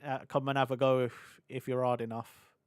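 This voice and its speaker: Chatty British man, slightly hoarse. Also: mid-range voice, little pitch movement, no echo, studio quality. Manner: chatty, scattered, quick to laugh. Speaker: VTuber streamer. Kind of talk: rambling live-stream chat. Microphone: desk mic on a boom arm.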